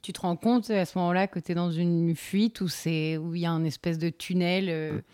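A woman's voice: lowish voice, steady tone, normal speed, darkish tone, clean tone. A woman interviewing a guest, asking empathetic questions with thoughtful, searching phrasing.

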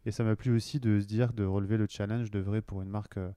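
Deep mellow man, halting speech. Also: little pitch movement, clear, resonant tone, no echo. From western France. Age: 40s. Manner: hesitant, many fillers and restarts. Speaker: marketing director guest. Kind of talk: conversational interview.

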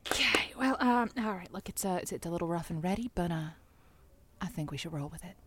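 An actress playing a wry late-night advice show host in an audio drama, sardonic and smooth with a poetic streak. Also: female voice, lowish voice, lively intonation, brisk, heavy background noise, natural voice. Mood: dry, wry. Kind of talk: semi-improvised audio drama, radio monologue.

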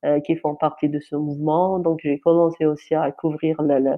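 A woman's voice: low-pitched, little pitch movement, normal speed, soft background music. Algerian woman, kabyle native, journalist talking earnestly, lots of um and restarts, kinda dull muffled sound. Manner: hesitant, many restarts and fillers, earnest. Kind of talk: interview answer, personal account.

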